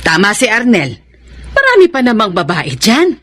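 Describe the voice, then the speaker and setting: Scolding Filipina mother, lively-pitched. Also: moderate pace, a little hiss or hum. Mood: advising. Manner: admonishing. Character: scolding, sensible middle-aged mother. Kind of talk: Filipino radio drama acting.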